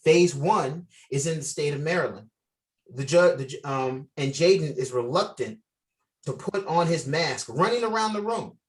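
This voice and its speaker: A man's voice: fairly high pitch, normal speed, distant mic, poor audio. A man directing a table read, giving instructions and reading stage directions aloud.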